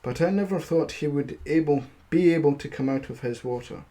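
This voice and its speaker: A man's voice: a little high, brisk, mellow, natural voice. A man voicing a spoiled young storybook princess, disdainful and fretful, in petulant archaic phrases.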